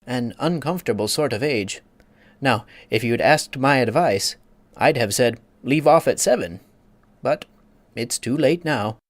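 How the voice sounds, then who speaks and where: A man's voice: medium pitch, no echo, pitched above the performer's own voice, close to an everyday voice. A man voicing a pompous, easily offended egg creature who lectures and takes offense. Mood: lecturing. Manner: haughty, quick to take offense, lecturing.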